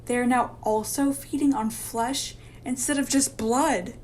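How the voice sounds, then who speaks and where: Woman playing determined female doctor, radio play, higher-pitched voice, grave and authoritative, faint noise behind. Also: fairly even intonation, unhurried, darkish tone, close to an everyday voice. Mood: grave, explaining. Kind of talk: amateur radio drama dialogue.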